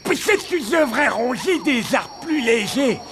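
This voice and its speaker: A man's voice: very high voice, big pitch swings, measured pace, heavily acted. A man voicing a hapless, hesitant animal sidekick in a cartoon, complaining wryly. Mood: wry, uneasy. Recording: music behind.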